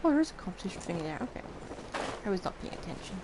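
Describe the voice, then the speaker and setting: A woman's voice: mid-range voice, big pitch swings, very fast, noisy background. A woman streaming a game with casual, playful commentary, chatting back at the characters. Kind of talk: casual live gameplay commentary. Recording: prominent game music behind.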